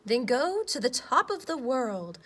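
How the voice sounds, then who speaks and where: A woman voicing the Earth as a wise, guiding storybook spirit, speaking emphatically with drawn-out phrase endings. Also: high voice, very animated, fairly bright tone, heavily acted. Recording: clear background noise.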